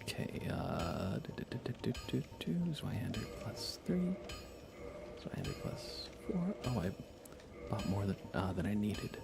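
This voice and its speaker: A man's voice: fairly low pitch, very animated, measured pace, vocal fry, over music. A man hosting a casual ASMR game livestream, chatty and informal, sometimes slipping toward a whisper. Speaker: livestream host.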